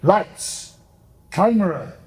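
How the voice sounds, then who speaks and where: Man as slow, theatrical play narrator. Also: sits high, lively intonation, noisy background, full character voice. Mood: grand. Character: theatrical male narrator addressing his audience. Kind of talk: scripted radio play narration.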